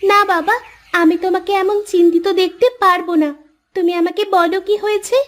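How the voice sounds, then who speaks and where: Very high voice, young farmer's daughter played by a woman, earnest, enterprising, caring, cartoon moral tale. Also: steady tone, a little fast. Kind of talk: Bengali moral-story cartoon voice acting.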